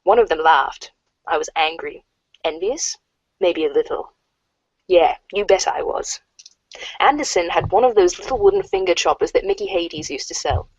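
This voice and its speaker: A woman's voice: lowish voice, normal speed, slightly rough, no room sound. A woman in an amateur reader's theater cast, recorded in muffled lo-fi audio. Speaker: voice cast member.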